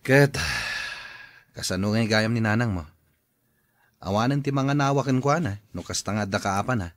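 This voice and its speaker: A man's voice: fairly low pitch, normal speed, lightly acted. A man playing a sympathetic, advising school colleague in a radio drama, sounding concerned.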